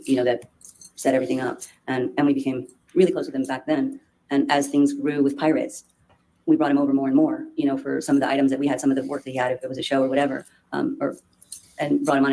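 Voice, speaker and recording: A woman testifying as a witness in court, speaking haltingly with many restarts and fillers. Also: a bass voice, mellow, slight background noise, rough recording. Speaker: trial witness.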